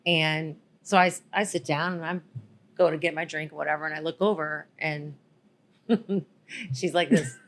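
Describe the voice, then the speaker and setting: A woman's voice: sits low, moderate pace, pauses often. Giggly woman telling a story. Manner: laughs often, excitable. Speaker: podcast co-host. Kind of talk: casual chat, storytelling.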